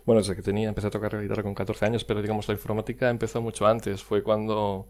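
A man's voice: fairly low pitch, little pitch movement, racing. A man, a guest in a casual interview, talking with many fillers and restarts. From Spain.

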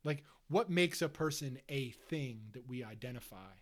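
A man's voice: mid-range voice, lively intonation, normal speed, a bit bright. A man from the Philadelphia suburbs co-hosting a casual book-club chat, thinking aloud in a rambling way with heavy use of "like". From Delaware County, Pennsylvania. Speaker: podcast co-host.

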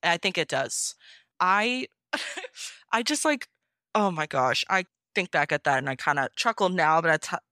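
Female interview guest, crisp and slightly low-pitched, gushing, informal storyteller. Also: lively intonation, a little slow, pushed and bright, dry recording, clean studio sound. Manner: gushing, self-mocking, heavy use of "like". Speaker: interview guest, fan fiction audio reader. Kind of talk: casual animated storytelling.

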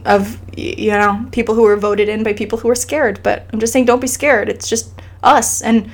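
A woman's voice: fairly high pitch, steady tone, normal speed. A woman talking as an interview guest, earnest and impassioned, speaking in a casual conversational way with frequent restarts. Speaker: guest sharing her family's immigration story.

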